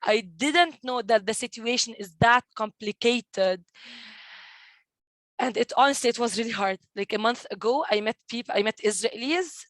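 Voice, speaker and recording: A woman's voice: a little high, moderate pace, a bit bright, no room sound, low-quality recording. A young woman in her twenties from East Jerusalem, speaking English with a Palestinian Arabic accent and telling her story earnestly, often restarting and repeating words on a video call. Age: 20s. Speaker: guest, community manager at a peace group. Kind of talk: personal storytelling in a panel talk.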